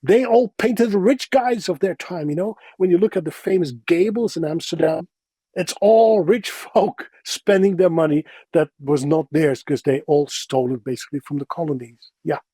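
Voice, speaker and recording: A middle-aged man from the Netherlands with a Dutch accent, a Dutch native speaker, telling stories in a casual, expressive interview. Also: fairly high pitch, normal speed, slightly rough, no echo. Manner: expressive, animated, self-deprecating humor. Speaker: interview guest. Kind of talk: casual conversational storytelling.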